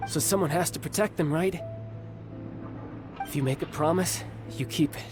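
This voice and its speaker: A man's voice: fairly high pitch, normal speed, music in the background, lightly acted. A man playing a cocky but affectionate young warrior and big brother in a video game, with playful bravado. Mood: earnest, wistful. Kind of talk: video game character acting.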